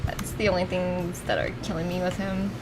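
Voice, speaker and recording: A woman's voice: on the low side, level tone, moderate pace, very noisy. A woman game streamer chatting casually and swearing often as she plays. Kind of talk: casual chat while streaming a video game. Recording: music in the background. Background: music.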